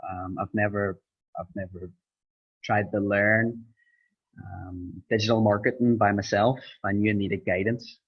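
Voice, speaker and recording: A young Irish man telling his story in an interview, pausing often with ums. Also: lowish voice, husky. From Ireland. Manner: hesitant, many ums, rambling. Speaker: interviewed student. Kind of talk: casual interview. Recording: dull lo-fi sound.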